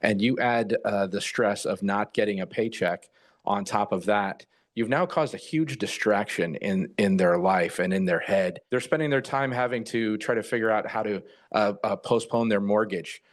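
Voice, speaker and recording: Low-pitched older American man being interviewed. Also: normal speed. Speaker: retired air traffic controller, expert interviewee. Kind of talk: unscripted interview answers.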